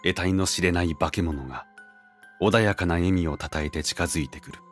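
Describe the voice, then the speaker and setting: An actor playing a grim, frightened assassin, narrating his inner thoughts gravely and dramatically in a fantasy audio drama. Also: deep voice, moderate pace, faint music behind, close to an everyday voice. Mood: despairing.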